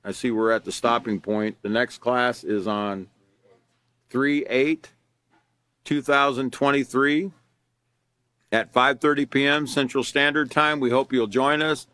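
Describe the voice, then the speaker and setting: A man teaching a character education class, reading from a workbook and explaining it in a loud, earnest way with many fillers and restarts. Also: mid-range voice, fairly even intonation, slow pace, no room sound. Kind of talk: reading and explaining a lesson live.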